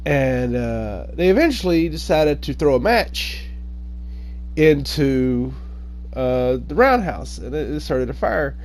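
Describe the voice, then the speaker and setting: A man telling folk tales informally in a halting, hesitant way, often pausing and correcting himself. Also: medium pitch, very animated, a little hiss or hum. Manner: halting, hesitant delivery with frequent pauses and self-corrections. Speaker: online storyteller.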